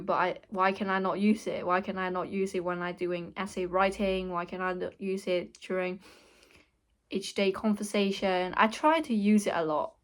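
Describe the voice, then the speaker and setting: A young woman from Hong Kong with a Hong Kong English accent, Cantonese her first language, talking candidly and hesitantly in a casual vlog over a weak mic. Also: medium pitch. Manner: candid, hesitant, many restarts. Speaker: vlogger. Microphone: weak mic, words hard to catch.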